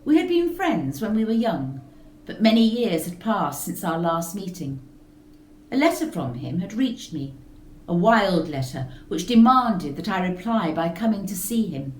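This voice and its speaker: A woman narrating a gothic story in a measured, expressive reading. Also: medium pitch, roomy sound, slight background noise, low-quality recording. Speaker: reader of a story. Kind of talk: scripted narration of a gothic tale.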